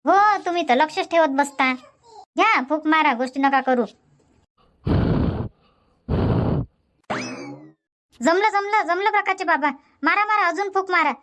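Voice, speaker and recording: In a dubbed cartoon comedy, a nagging old village grandmother, voiced by a woman, bosses her husband around. She speaks with a Varhadi Marathi accent and answers back fast. Her voice sits high and light. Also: a bit bright. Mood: bossy, bickering. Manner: nagging, quick comebacks.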